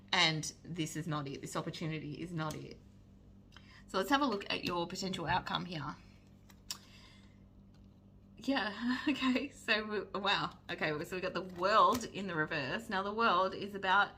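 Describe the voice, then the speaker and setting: A woman's voice: fairly low pitch, creaky, pushed and bright, slight background noise. A woman giving a pick-a-card tarot reading while she is losing her voice, talking in a chatty way and often checking in with "right?". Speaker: psychic tarot reader.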